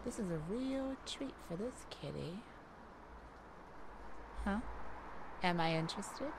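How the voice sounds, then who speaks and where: A woman's voice: medium pitch, lively intonation, slow, noisy background. A woman playing a cocky, flirty female cat burglar in an ASMR roleplay, with a teasing, sassy, theatrical delivery.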